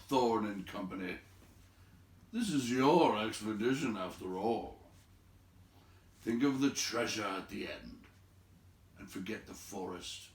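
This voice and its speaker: A man voicing a brisk, bossy old wizard from a storybook, with an emphatic, scolding and teasing manner. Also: fairly low pitch, roomy sound, recorded from a distance, a little hiss or hum.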